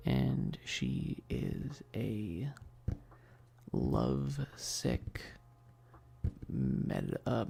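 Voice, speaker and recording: A man with a heavily fried, dark and mellow voice talks softly, at times close to a whisper. He rambles at an unhurried pace as a streamer chatting about games, hesitant and slangy, with casual swearing and laid-back enthusiasm. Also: mid-range voice, vocal fry, breathy edge. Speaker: streamer hosting a live stream.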